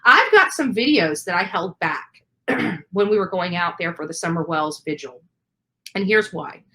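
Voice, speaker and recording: Bright, forceful woman with an aggrieved edge. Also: lowish voice, expressive, normal speed, poor audio. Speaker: live stream host. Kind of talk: informal live commentary on a true crime case.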